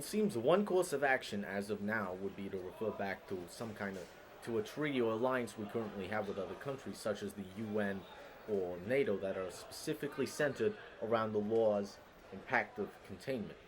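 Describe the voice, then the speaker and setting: A man's voice: fairly low pitch, brisk, close to an everyday voice. A man playing a 1950s field news correspondent, explaining things in a hesitant manner. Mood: earnest, hesitant. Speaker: field reporter. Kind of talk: mock 1950 on-the-scene news report. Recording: noticeable background noise.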